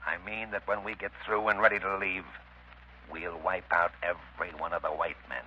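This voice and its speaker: Male actor as murderous crime boss, deep voice, menacing, deliberate, cold, muffled vintage radio. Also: little pitch movement, lightly acted. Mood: cold menace. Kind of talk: 1930s radio drama acting. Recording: muffled, hissy 1930s radio broadcast.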